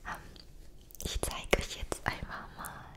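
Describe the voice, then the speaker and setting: A woman in her late twenties speaks in a soft, breathy whisper, chatting personally to viewers in a gentle, hesitant ASMR style, close to the microphones. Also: slow pace. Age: late 20s. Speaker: ASMR creator. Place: small indoor setup with dark backdrop. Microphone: two close microphones either side.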